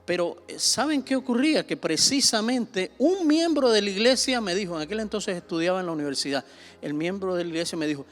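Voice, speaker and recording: Cuban pastor teaching in a seminar. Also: male voice, sits high, expressive, brisk. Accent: Cuban Spanish. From Cuba. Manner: conversational, with many fillers and repetitions; rises sharply when stressing a point.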